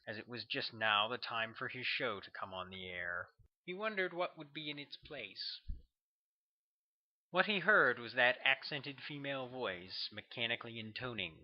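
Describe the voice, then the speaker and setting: A man reading his own novel aloud as an audiobook, in measured, even narration. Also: medium pitch, no echo, muffled recording.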